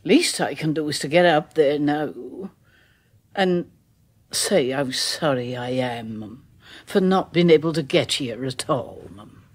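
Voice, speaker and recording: An old village gossip. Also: female voice, low-pitched, slow pace. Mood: apologetic. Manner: rustic dialect, hushed and uneasy, dropping to whispers. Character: older village woman, a nervous, superstitious local. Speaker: village neighbour. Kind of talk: radio drama acting.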